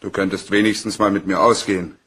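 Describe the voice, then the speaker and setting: An easygoing office colleague who is smitten and likes to tease, in a crime radio play. His voice is low and husky, his manner chummy. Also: little pitch movement, fast talker, a bit bright. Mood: flirtatious. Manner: teasing, chummy.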